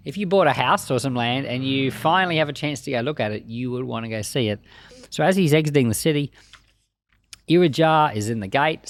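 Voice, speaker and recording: A man teaching the Bible in an informal, conversational way, using colloquial phrasing and acting out little asides as he comments. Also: medium pitch.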